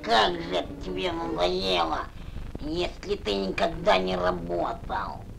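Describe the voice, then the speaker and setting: A woman playing a fussy, nagging mother toad in an animated fairy tale. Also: lowish voice, slow, creaky, heavily acted, rough recording. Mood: fussy, coaxing. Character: bossy, doting middle-aged mother toad. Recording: old cartoon soundtrack with music.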